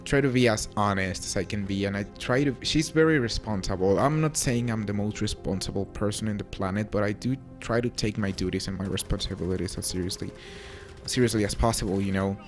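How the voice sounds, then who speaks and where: A young man from Mexico in his late teens with a Mexican accent softened by British touches, chatting casually and answering viewer questions with a relaxed, self-deprecating manner. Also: on the low side, fairly quick. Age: young adult. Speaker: YouTuber. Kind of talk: casual Q&A to camera. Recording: faint music underneath.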